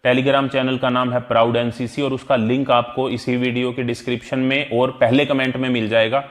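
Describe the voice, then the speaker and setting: Indian guy teaching exam prep, medium pitch, explains stuff clearly step by step and repeats key terms. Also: level tone, normal speed. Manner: didactic, repeats key terms and checks understanding. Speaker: instructor. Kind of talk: explanatory exam-prep tutorial, mixing Hindi and English.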